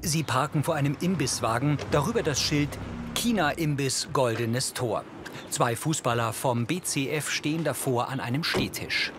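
A man's voice: medium pitch, moderate pace, background noise. A man reading scripted audio description in a neutral, factual way, narrating in the present tense.

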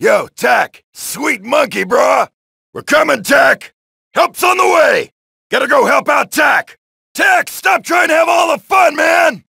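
An actor playing a brash, wisecracking male soldier who shouts taunts and one-liners while killing zombies in a video game, with a gravelly voice. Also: very high voice, lively intonation, takes it slowly, no echo, full character voice. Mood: taunting. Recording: dry studio voice lines.

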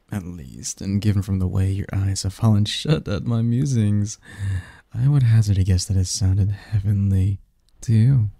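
Man acting as a seductive demon lover, very dark gravelly voice, slow teasing murmur, softly possessive, ASMR roleplay. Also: lowish voice, no echo, full character voice. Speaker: roleplay audio performer. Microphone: close, dry microphone.

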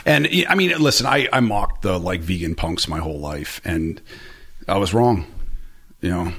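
A man in his forties speaks as a podcast guest in a very low, gravelly, husky voice, close on a studio mic. He rambles candidly, piling up fillers and restarts and poking fun at himself. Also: expressive, moderate pace, a bit bright. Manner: rambling, self-deprecating, many fillers and restarts. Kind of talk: candid conversational interview. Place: small room with bright orange shelves. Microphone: boom-arm studio mic close to mouth.

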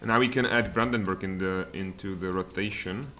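A man in his thirties with a Czech accent, streaming a strategy game from home in lively, muttered commentary with sudden outbursts. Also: sits low, brisk, faint music behind. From Czech Republic. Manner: animated, muttering asides, sudden exclamations. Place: home room, indoors.

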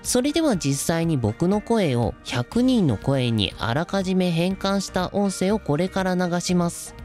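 A man's voice: sits high, lively intonation, a little slow, darkish tone. A man of thirty-one with a youthful, feminine-sounding voice, presenting a scripted explainer politely to viewers. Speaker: video host. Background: background music.

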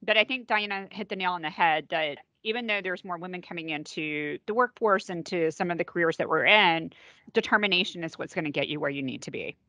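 Low, bright woman telling a story. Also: fairly quick, no echo. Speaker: panelist, environmental safety executive. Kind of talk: panel discussion on a call.

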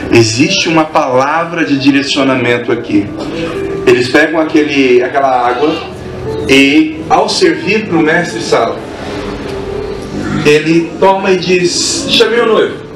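A man's voice: on the high side, measured pace. A man officiating a wedding, preaching with emphatic, rising build-ups into a handheld mic. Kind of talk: wedding sermon. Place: large wedding tent, partly open. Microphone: handheld mic, recorded by far camera. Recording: echoey, distant, music behind.

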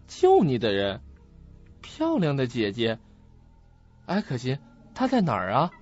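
An actor in an audio drama playing an earnest, puzzled young student who questions and muses to himself. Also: male voice, medium pitch, huge pitch swings, unhurried, darkish tone, faint music behind. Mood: puzzled, probing. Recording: muffled lo-fi audio.

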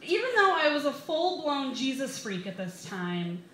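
A woman in her twenties with an American accent, reading a comedic personal essay live with wry, deliberate timing at a bar microphone. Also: high voice, big pitch swings, a crowd in the background. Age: 20s. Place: small bar reading series, indoors. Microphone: microphone on a stand, held close. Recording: roomy live bar, some background noise.

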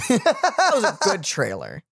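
A young man giving casual reaction commentary, animated and often searching for words. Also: high voice, takes it slowly, gravelly, slight background noise. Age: young adult. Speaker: reaction channel host. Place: small room at home. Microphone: boom-arm microphone, close.

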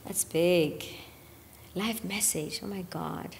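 A woman's voice: fairly low pitch, slow pace, gravelly, big-room sound, noisy background. A woman in her thirties, a gospel singer, talking earnestly about faith on a podcast and often dropping to a near-whisper. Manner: earnest, drops to near-whisper on key points. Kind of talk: reflective faith conversation. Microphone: studio mic on boom arm.

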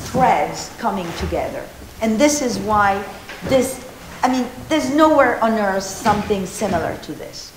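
A woman in her fifties from Argentina gives an informal art history talk, animated and gesturing, with many restarts and fillers, recorded by a distant camera in an old meeting house. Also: mid-range voice, slight background noise. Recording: roomy hall echo.

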